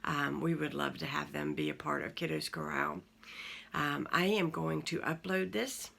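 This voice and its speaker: A woman in her forties, hosting her own channel, talking casually to viewers from home in a croaky morning voice, rambling with many pauses and fillers. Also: low-pitched, steady tone. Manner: warm, rambling, many ums. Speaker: channel host. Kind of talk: casual talk to camera.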